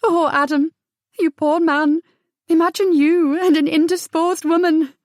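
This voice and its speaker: A woman playing a gentleman's lively, curious sister in an audiobook, animated and teasing in manner. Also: very high-pitched, measured pace, no echo, studio quality, voice pushed up. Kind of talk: audiobook character dialogue.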